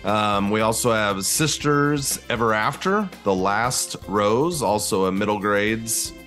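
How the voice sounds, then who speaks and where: A man with a fairly low voice co-hosts a podcast. He talks in a casual, chatty style, with faint music underneath. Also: slow pace, fairly bright tone. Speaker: podcast co-host. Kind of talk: casual chat.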